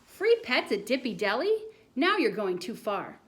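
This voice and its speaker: A woman's voice: fairly high pitch, big pitch swings, a little slow. A woman voicing a skeptical, stern schoolteacher from a storybook, disbelieving and scolding. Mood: incredulous.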